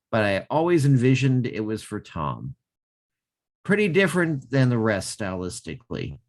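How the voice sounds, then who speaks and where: A man co-hosting a casual music podcast, with a voice that swings widely up and down as he jokes. His pitch is mid-range for a man, and his delivery is playful and full of comic asides. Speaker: podcast co-host.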